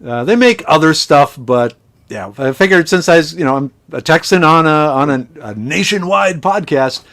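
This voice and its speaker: Middle-aged male guest from Minnesota, higher voice, rambling and chatty on a remote call. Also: animated, moderate pace, fairly bright tone. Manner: chatty storyteller with frequent restarts. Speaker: podcast guest, chemist and foraging instructor. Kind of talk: casual podcast conversation.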